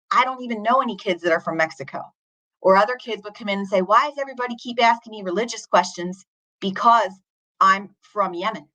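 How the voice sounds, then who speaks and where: A woman teacher and author, a guest on an interview show, telling a story in an earnest, steady, reflective way. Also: mid-range voice, poor audio.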